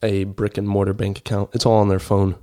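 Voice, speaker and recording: Male interview guest from Kenya, fairly low voice, earnest and explanatory. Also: level tone, fairly quick, warm tone. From grew up in Kenya. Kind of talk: conversational interview.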